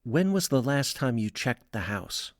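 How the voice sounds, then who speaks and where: A man playing a reserved, wary former professor in an audio drama, formal and deliberate in his speech. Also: mid-range voice, very animated, dry recording, clean studio sound. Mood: concerned. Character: reserved, wary male former philosophy professor back from away.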